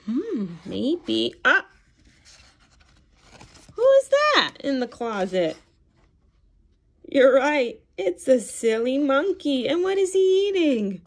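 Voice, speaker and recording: A woman reading a picture book aloud to young children in an animated, expressive way, with gasps, questions and encouraging praise. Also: high voice, takes it slowly, pauses often. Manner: animated, expressive, sing-song. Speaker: children's read-aloud storyteller. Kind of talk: interactive picture-book read-aloud for toddlers.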